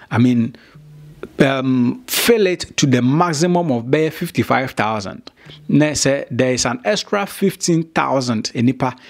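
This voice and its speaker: Ghanaian male host, 30s, mid-pitched, chatty bilingual commentary to camera. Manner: mixes Twi and English, talks straight to viewers. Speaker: showbiz news host. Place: small indoor studio. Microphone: studio mic on stand.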